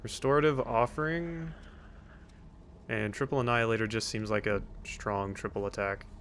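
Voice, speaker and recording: A man's voice: mid-range voice, fairly even intonation, normal speed, a bit bright. Wry man commentating over a video game. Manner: wry, teasing, talking back to game characters; reads on-screen text aloud. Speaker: let's play host. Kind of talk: casual gameplay commentary. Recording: faint background game noise. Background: video game sounds.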